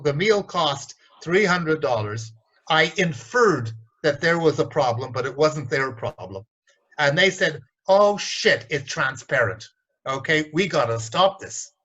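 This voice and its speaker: Blunt, animated man on a lo-fi call. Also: on the high side. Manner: blunt, animated, mimics voices in stories. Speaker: organisation development consultant. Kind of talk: candid interview storytelling.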